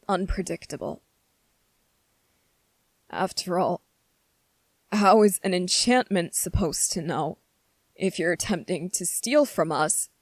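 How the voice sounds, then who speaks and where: Slow, low-voiced anxious young prince, played by a woman. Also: no room sound. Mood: worried. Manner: careful, hesitant, formal, pleading. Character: anxious, earnest, gentle young male fantasy prince. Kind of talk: ASMR character roleplay.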